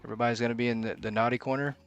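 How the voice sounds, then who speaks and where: A man's voice: medium pitch, little pitch movement, rapid-fire, smooth, dull audio, soft background music. A man giving live gameplay commentary as a streamer, thinking aloud and muttering to himself as he plays. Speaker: game streamer.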